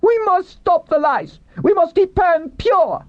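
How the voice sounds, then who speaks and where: A man voicing a defiant, fanatical woman captive in a storybook, with shrill zealous cries. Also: expressive, a little slow. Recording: roomy, dull muffled recording.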